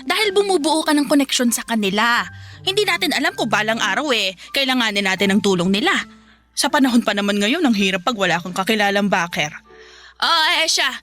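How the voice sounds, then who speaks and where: A woman's voice: on the high side, animated, brisk, bright, forceful tone. A woman playing a pushy, nagging middle-aged mother in a Filipino radio drama, chattering and scolding with exclamations. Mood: scheming, insistent. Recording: music bed behind the voice.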